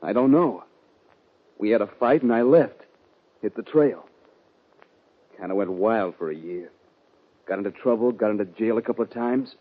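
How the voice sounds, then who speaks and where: A man playing an anguished young outlaw cowboy with a Western drawl and husky voice, in 1950s radio western style. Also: fairly low pitch. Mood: weary, wounded. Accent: American Western drawl. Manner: emotional, halting, pleading. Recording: dull vintage 1950 radio recording.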